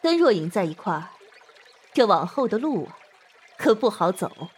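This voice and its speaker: A woman playing an indulgent but authoritative middle-aged mother in a Chinese costume audio drama, measured and gently chiding. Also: medium pitch, big pitch swings, breathy edge. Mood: amused, relenting.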